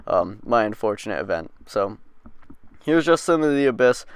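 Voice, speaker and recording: A man's voice: fairly low pitch, sing-song, moderate pace, clean tone, no echo. Young guy with a head cold, nasal and stuffy, easygoing gameplay commentary, clean dry voice-over. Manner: laid-back, rambling, frequent ums and swearing. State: congested, sniffly from a cold. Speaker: gaming channel host. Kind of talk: casual voice-over game commentary.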